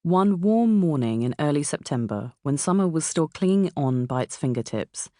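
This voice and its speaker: A woman's voice: low voice, animated, normal speed, warm tone, no room sound. Dry, close southern English woman narrator. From England. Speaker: author narrating own audiobook. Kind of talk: scripted memoir narration. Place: dry recording studio.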